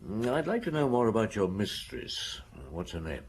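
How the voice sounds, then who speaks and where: An actor playing a patient police detective in a radio drama, putting probing questions with measured courtesy. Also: male voice, fairly low pitch, big pitch swings, slight background noise.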